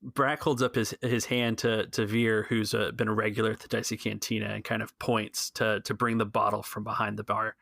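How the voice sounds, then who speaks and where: A man improvising narration in a tabletop roleplaying game, in a halting way. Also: fairly low pitch, level tone, creaky, a bit bright, no echo, studio quality. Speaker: game player narrating his character.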